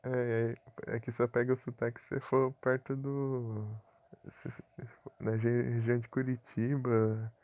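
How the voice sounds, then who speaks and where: A man's voice: medium pitch, level tone, unhurried, phone-quality sound. A Brazilian man from Paraná streaming a game live, chatting casually with viewers and chuckling often.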